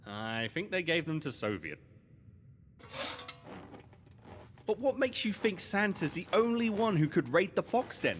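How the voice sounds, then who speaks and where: A man's voice: sits high, very animated, moderate pace, fairly bright tone. A man playing a loyal, slightly anxious young adventurer's sidekick in an audio drama, earnest and questioning. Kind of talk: scripted audio drama dialogue. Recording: long reverb, music behind.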